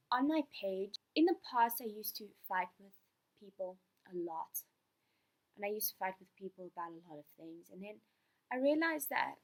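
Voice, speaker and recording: A South African woman in her late teens, speaking earnestly and casually as she answers questions in an amateur vlog. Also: mid-range voice. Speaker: fan account owner answering viewer questions. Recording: poor amateur sound.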